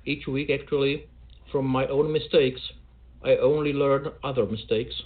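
A man hosting a poetry show over a video call, speaking in a measured, formal way with dry self-mockery. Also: medium pitch, fairly even intonation. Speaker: host. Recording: tinny call audio.

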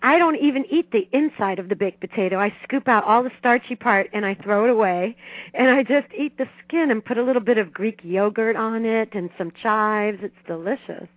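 A woman's voice: a little high, fairly quick, no room sound. A middle-aged woman giving conversational advice as an expert guest in an interview. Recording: dry, tinny phone line.

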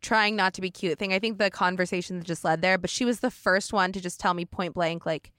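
A woman's voice: fairly low pitch, fairly even intonation, fairly quick, fairly bright tone, dry recording. Young female podcast host, frank, laughs easily, close dry sound. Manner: candid, laughing, swears freely. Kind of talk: casual interview chat. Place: comedy shop at a party.

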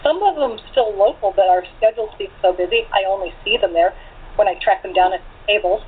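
A woman's voice: mid-range voice, fairly quick, breathy edge. A woman chatting casually in a group conversation, with a rambling way of talking, over thin call audio. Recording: noisy, phone-like remote call audio.

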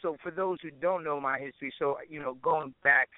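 A man's voice: on the high side, a little fast, dry recording, phone-quality sound. A man chatting casually as an interview guest, with informal, slangy phrasing and frequent "you know" asides. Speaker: interview guest, musician and producer.

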